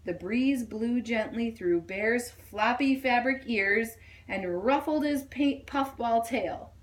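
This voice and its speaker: A woman reading a children's story aloud in an expressive style. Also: on the high side, slow, rough recording. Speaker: storybook reader.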